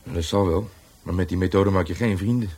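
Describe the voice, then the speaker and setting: An actor playing an easygoing, patient police sergeant in a radio drama, putting questions in an informal way. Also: male voice, low voice, a little fast, darkish tone, breathy edge. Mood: probing, calm. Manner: informal, probing.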